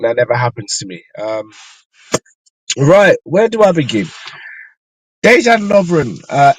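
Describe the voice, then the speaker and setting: A man hosting a live fan show from inside his car, his voice still a little croaky from a flu, chatting loosely and thinking aloud with ums and restarts. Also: medium pitch, no echo, rough recording. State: slightly croaky after flu. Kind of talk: casual live-stream chat.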